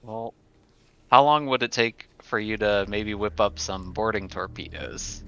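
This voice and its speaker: A man's voice: lowish voice, measured pace, creaky, bright, forceful tone, clean tone, dull audio. A man playing a tabletop game, talking casually through plans with the group.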